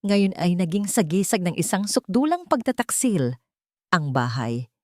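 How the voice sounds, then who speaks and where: A woman reading a scripted first-person dramatic story in a sorrowful, deliberate manner. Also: fairly low pitch, expressive, no room sound, studio quality. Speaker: story narrator.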